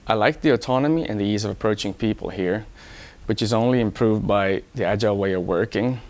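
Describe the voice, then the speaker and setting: Guy from hong kong, lowish voice, engineer explaining stuff about himself in a measured way, staff profile type talk. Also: darkish tone. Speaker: electronics engineer. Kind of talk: first-person employee profile talk.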